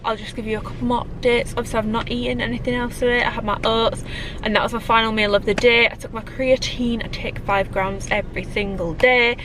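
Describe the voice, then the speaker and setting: A woman's voice: on the high side, background noise. A British woman in her twenties, chatting casually to camera with lots of likes and ums, her nose a little blocked from a cold. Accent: British English. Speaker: fitness vlogger. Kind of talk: casual vlog chat to camera. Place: parked car, also home.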